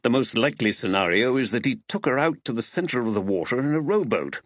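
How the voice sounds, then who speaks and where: A man acting an inquisitive, lively-voiced male character. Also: medium pitch, fast, dry recording, muffled recording. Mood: speculative. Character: Max, an inquisitive, calmly speculating man. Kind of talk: audiobook character dialogue.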